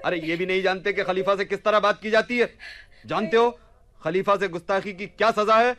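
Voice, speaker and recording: A deluded, pompous young man in a radio drama. His voice is on the high side and excitable, recorded close and dry. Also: a little fast, dry recording. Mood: haughty, indignant. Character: deluded, haughty young man claiming to be Caliph. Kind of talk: theatrical radio play acting.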